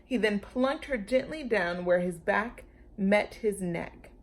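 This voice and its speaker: A woman's voice: mid-range voice, slow. A woman reading a children's story aloud expressively, as a storytime host speaking to young listeners. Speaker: storytime reader.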